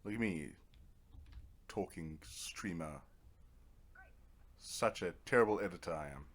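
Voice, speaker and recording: A man's voice: sits low, measured pace. A man giving casual let's play commentary, rambling to himself in a wry, self-deprecating way. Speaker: gaming video host.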